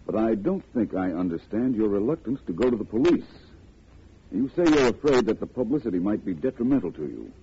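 An actor playing a composed, courteous, analytical private detective in a 1940s radio drama, measured and formal. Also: male voice, fairly low pitch, big pitch swings, warm tone. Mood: calm, attentive. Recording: noisy, muffled old radio broadcast.

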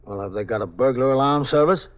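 Guy playing steady worn-out american police captain, understated, 50s radio show, dull old recording. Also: medium pitch, fast, natural voice. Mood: casual, attentive. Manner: matter-of-fact, understated. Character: weary, steady male New York police captain. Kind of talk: 1950s radio drama acting. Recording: muffled 1950s radio recording.

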